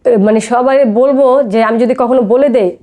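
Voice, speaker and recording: High, fast-talking Bangladeshi woman, mellow tone. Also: steady tone. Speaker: podcast guest telling her life story. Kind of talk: personal storytelling in casual talk.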